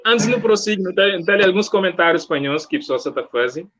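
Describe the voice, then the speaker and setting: A man's voice: high-pitched, expressive, fast talker, a bit bright, dull audio. A man with a Cape Verdean accent hosting a casual live chat in a jovial manner. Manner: jovial, reads out viewer comments. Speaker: interviewer, host.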